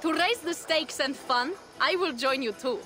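An actress playing a boisterous, playful and proud Viking warrior in a video game, with a hearty, teasing delivery. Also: female voice, high voice, normal speed, pushed and bright, faint music behind. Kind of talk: video game cutscene acting.

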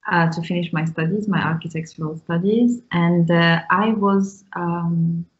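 A woman's voice: on the low side, fairly even intonation, a little slow. A woman in her thirties from Morocco, a guest on a video call interview, speaking thoughtfully with many ums and restarts. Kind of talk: casual interview talk. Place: small room indoors. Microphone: video call with earphones. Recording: muffled video call audio.

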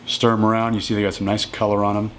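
A man in his thirties casually walking through home meals in his kitchen, relaxed and matter-of-fact. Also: fairly low pitch, fast talker, a little hiss or hum. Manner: relaxed and matter-of-fact, plain step-by-step talk. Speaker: strength coach cooking at home. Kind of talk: casual cooking walkthrough.

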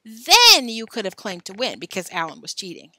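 A woman's voice: fairly high pitch, huge pitch swings, normal speed, pushed and bright, no echo, full character voice. A woman voicing a brash, argumentative schoolboy, blunt and defiant, in a children's storybook reading. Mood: defiant shouting.

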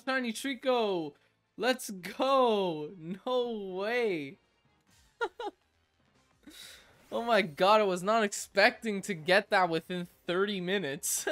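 A young man narrating his video game hunts from a home gaming room, breaking into excited shouts and laughter at lucky finds. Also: high voice. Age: young adult. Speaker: gaming YouTuber. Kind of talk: game commentary and recap narration.

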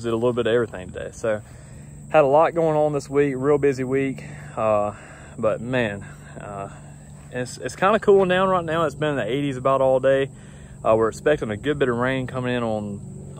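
A young man, talking casually to a phone camera outdoors in a grassy yard, rambling and easygoing with lots of fillers. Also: mid-range voice. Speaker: lawn care business owner. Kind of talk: casual vlog talk to camera. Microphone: phone camera at arm's length.

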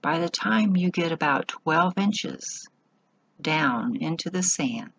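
A woman leading a guided meditation in short, measured phrases with long pauses between them. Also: low voice, lively intonation, a bit bright, husky, lo-fi sound.

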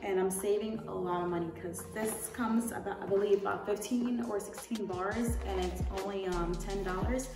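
A woman's voice: medium pitch, steady tone, moderate pace, hardly any pauses. Echoey young woman vlogger, distant mic. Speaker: lifestyle YouTuber. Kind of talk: casual product haul chat to camera. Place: indoor room. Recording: roomy echo, distant mic, music behind.

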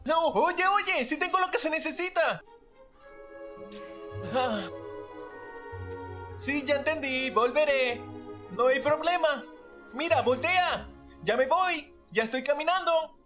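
Cartoon boy, played by an actor: impatient, pleading, Latin American accent, very high pitch, faint music behind. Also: takes it slowly, pauses often, a bit bright. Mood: insistent, pleading. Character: eager, impatient young boy visitor, pleading and earnest. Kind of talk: animated film fan dub acting.

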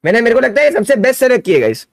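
A young man streaming a game, chatty and excitable, talking to his viewers from a desk mic in his gaming room. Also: very high voice, racing, vocal fry, dry recording, studio quality. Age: young adult. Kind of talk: excited live reaction stream.

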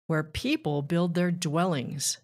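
Slow-paced woman reading aloud to children. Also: sits low, darkish tone. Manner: measured, deliberate phrasing addressed to children. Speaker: children's author and narrator. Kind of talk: read-aloud of a rhyming children's nature book.

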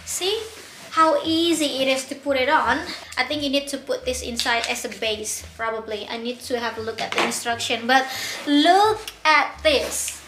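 A woman's voice: high voice, moderate pace, roomy sound, very noisy. A young woman vlogger unboxing baby products, bubbly and giggly as she gushes over each one. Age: young adult. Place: bright indoor room.

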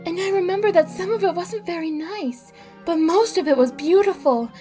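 A woman's voice: very high-pitched, normal speed, over music. A woman voicing an earnest, innocent, emotional Kansas farm girl in a theatrical classic-film dub. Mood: earnest, joyful. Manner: earnest, girlish, pleading.

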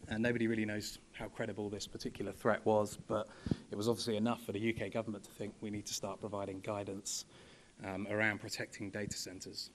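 A young man speaking from a podium at a conference panel, measured and full of ums and you-knows. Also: on the low side, level tone, a little hiss or hum. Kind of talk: presentation at a podium. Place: large conference hall. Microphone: podium microphone.

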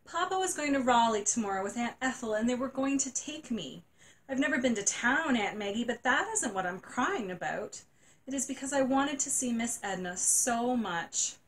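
A woman's voice: on the high side, lively intonation, normal speed, pitched above the performer's own voice, natural voice. A woman voicing a tearful, gushing nine-year-old girl in a storybook reading. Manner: sobbing, breathless and gushing.

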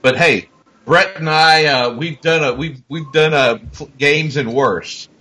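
Man, 40s, rugby pundit on a video call, bright tone, rambling delivery. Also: on the high side, unhurried. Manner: emphatic, rambling with many restarts. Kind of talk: casual panel chat.

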